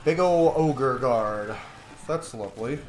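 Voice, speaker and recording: Male gamer: unhurried, crude, playful commentary, echoey room, slight noise. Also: mid-range voice. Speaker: gaming streamer playing a roleplay. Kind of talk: casual gameplay commentary.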